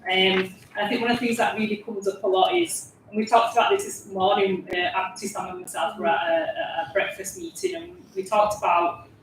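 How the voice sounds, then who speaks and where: A woman's voice: medium pitch, lively intonation, a little fast, few pauses, a little hiss or hum. Chatty Yorkshire woman, far from the mic. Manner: chatty, laughs often. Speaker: prospective parliamentary candidate. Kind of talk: panel introduction. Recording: echoey and distant from the mic.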